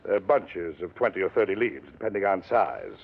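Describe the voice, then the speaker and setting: A self-important middle-aged businessman in an old radio drama. His voice sits a bit low and he explains things fussily, with evasive hesitations. The 1950s recording sounds dull and muffled. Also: lively intonation, normal speed, vocal fry, heavily acted. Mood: fussy, explaining.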